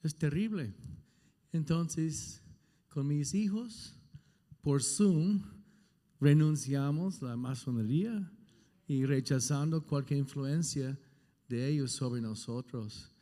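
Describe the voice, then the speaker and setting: A man in his sixties, a native English speaker with a non-native accent, giving halting, unscripted testimony as a pastor. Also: on the high side, dark tone. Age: 60s. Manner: halting, searching for words. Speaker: pastor on a question panel. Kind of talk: unscripted personal testimony and teaching. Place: large hall at a conference. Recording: reverberant hall sound.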